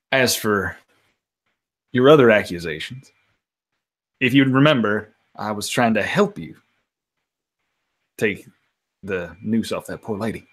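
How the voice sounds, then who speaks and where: A man playing a mystery role-playing game, improvising casually in conversational, broken phrases. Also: on the low side.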